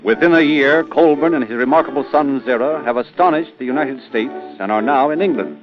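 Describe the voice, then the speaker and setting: Old time radio announcer guy, formal n punchy scripted narration, muffled sound w music under. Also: medium pitch. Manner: formal, emphatic, measured phrasing. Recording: muffled vintage radio recording, music behind.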